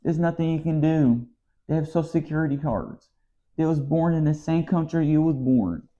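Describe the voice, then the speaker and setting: A man's voice: on the high side, moderate pace, warm tone. An American man with a Southern accent, ranting in a weary, exasperated way in a casual vlog monologue. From United States. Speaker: vlogger. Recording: slightly dull lo-fi sound.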